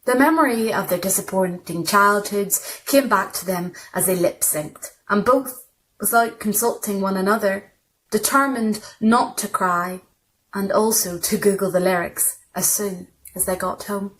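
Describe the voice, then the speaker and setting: A woman's voice: mid-range voice, a little slow, fairly bright tone, rough recording. A woman reads literary short fiction aloud as a storyteller, in scripted narration. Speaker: story reader.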